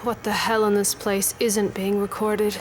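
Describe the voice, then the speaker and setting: A woman's voice: mid-range voice, flat delivery, measured pace, slight background noise, natural voice, low-quality recording. A woman playing a weary, defiant wife in an audio drama, speaking in a resigned, wry way. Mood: guarded, wry. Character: weary, defiant wife living under a totalitarian regime.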